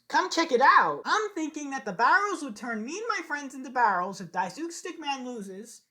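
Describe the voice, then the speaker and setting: A woman's voice: a little high, sharp tone. A woman reading scripted lines aloud in a declamatory way, with sing-song emphasis on her phrases. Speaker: amateur animator recording voice lines.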